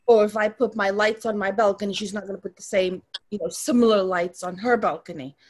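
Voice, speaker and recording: A woman in a casual group chat, telling an animated story with some exasperation. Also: medium pitch, fairly quick.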